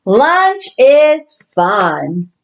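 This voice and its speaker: A woman's voice: a little high, very animated, very slow, lo-fi sound, full character voice. A woman doing an eager little shark boy. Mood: excited. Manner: bouncy, exclaiming.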